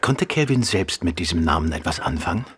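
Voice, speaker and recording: Ein Schauspieler spielt in einem Hörspiel einen weltgewandten, ironisch neckenden Krimiautor und Amateurdetektiv. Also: male voice, deep voice, animated, fairly quick, a bit bright, breathy edge, exaggerated voice. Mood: wry, reserved. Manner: suave, ironic, playful. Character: urbane, teasing gentleman novelist and amateur detective. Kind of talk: German radio play acting.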